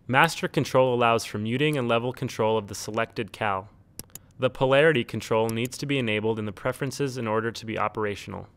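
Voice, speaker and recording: A man reading a scripted instructional tutorial in a measured, neutral, step-by-step way. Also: mid-range voice, a bit bright, no echo. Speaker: tutorial narrator. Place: close, dry studio-style voice-over recording.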